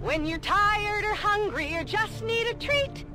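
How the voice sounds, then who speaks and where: A woman's voice: very high-pitched, lively intonation, a little slow. A woman playing a sugary advertising announcer in a jingle from a video game, over music. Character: sugary female fast-food jingle announcer. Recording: music behind the voice.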